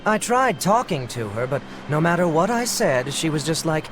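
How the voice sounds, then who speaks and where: Low-voiced, folksy shopkeeper. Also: female voice, very animated, moderate pace, over music. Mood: casual. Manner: casual, folksy. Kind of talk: video game cutscene voice acting.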